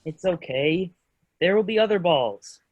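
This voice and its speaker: A man playing a loyal, easygoing young friend in a children's fairy tale play, speaking in a plain, low-key way. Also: lively intonation, measured pace, no room sound, lo-fi sound. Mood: reassuring. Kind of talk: staged reading of children's theater fairy tale.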